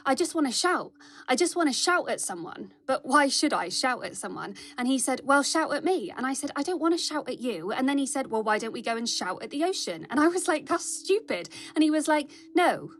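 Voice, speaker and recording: A woman's voice: a little high, dry recording. A woman telling a candid personal story in a conversational way, acting out remembered dialogue. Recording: music behind the voice. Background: music.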